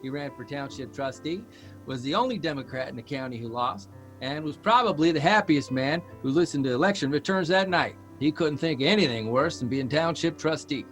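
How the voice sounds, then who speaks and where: An actor playing an earnest, worried grown son in a naturalistic audio drama, halting and exasperated but affectionate. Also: male voice, on the high side, expressive, a bit bright, poor audio. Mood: wry, fond. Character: earnest grown son, a newspaper writer, worried about his aging father. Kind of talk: naturalistic audio drama acting at a live reading. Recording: music behind a live stage reading.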